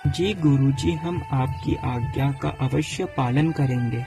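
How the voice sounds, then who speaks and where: A man playing a foolish, excitable young Brahmin student in a cartoon moral story, speaking in an earnest, theatrical way. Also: mid-range voice, unhurried, lightly acted. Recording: music laid under the voice.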